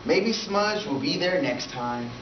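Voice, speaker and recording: A man's voice: a little high, animated, slow pace, voice pushed up. Guy doing shy lonely kid telling a simple storybook tale, distant mic, lots of room noise. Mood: quietly excited. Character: lonely, timid, polite young boy. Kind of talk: storybook read-aloud. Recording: echoey room from far, noisy, dull.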